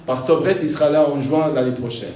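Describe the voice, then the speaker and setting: Male pastor, stop-start delivery with frequent laughs, mellow voice; distant mic in an echoey church hall. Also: a little high. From Argentina. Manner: halting, repeats words, laughs often. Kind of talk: sermon.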